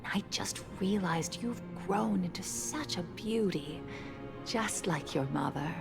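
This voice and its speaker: Casting: kindly older neighbor lady for a game, lowish creaky voice, unhurried and motherly, music underneath. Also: lively intonation, full character voice. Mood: caring. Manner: gentle, fussing, motherly. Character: kindly older townswoman, motherly family friend. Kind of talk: scripted video game dialogue. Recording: music behind the voice.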